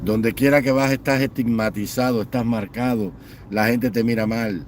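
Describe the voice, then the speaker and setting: Man, angry political rant, mid-pitched, faint noise behind, repetitive scornful listing. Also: steady tone, moderate pace. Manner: fiery, repetitive listing, mocking. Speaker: political commentator.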